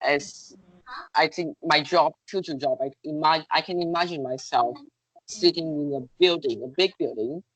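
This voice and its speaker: A woman's voice: low-pitched, moderate pace, no room sound, poor audio. A woman whose first language is Vietnamese, answering interview questions in English for a contest, hesitant and full of fillers. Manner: hesitant, searching for words, many fillers.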